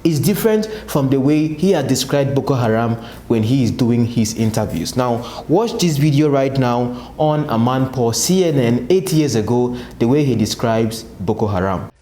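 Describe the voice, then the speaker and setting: Nigerian man, political analyst, rhetorical, mellow tone, echoey room, faint background hum. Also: mid-range voice, animated, moderate pace, hardly any pauses. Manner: rhetorical, asks and answers his own questions. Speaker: political analyst, channel host. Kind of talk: commentary to camera.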